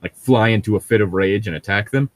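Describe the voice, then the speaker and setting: A man's voice: on the low side, brisk, no echo. A man in casual group chat, rambling and sardonic, with frequent swearing.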